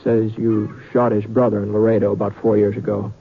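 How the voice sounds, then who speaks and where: An actor playing an easygoing, loyal cowboy gunslinger in an old radio western, folksy and hesitant. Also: male voice, lowish voice, monotone, a little fast, slightly rough. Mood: worried, earnest. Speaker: lead actor and narrator. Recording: dull, noisy old radio recording.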